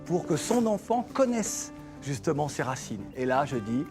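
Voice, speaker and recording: A man's voice: on the high side, big pitch swings, normal speed, music in the background. A man speaking as a talent show coach, earnestly praising a contestant. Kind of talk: admiring comment.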